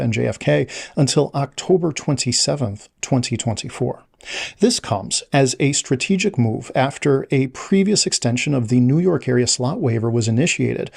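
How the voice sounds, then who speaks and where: A man presenting scripted travel news in a measured, formal read-aloud delivery. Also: mid-range voice, vocal fry, darkish tone, breathy edge.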